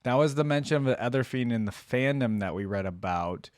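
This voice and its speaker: A man's voice: medium pitch, lively intonation, fairly quick, darkish tone, slight background noise. A man hosting a reaction video, giving casual, slangy, musing commentary on game voice lines and repeating phrases back.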